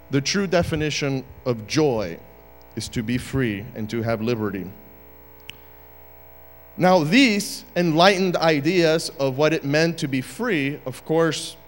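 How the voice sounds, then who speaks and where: Guest preacher, male, from Uruguay, slightly high voice, playful asides that turn emphatic, long church reverb. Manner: jokey and conversational, then building to emphatic preaching. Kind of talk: sermon. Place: church sanctuary.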